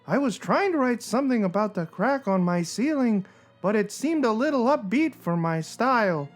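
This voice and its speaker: A woman's voice: a little high, lively intonation, normal speed, faint music behind. A woman playing a pretentious, frustrated poet from a cartoon, reading a blog in a brooding, melodramatic way.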